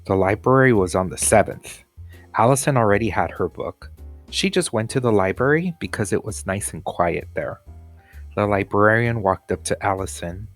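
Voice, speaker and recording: Man, lower-pitched voice, storybook read-aloud for children, teacher, soft music underneath. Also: normal speed, dry recording.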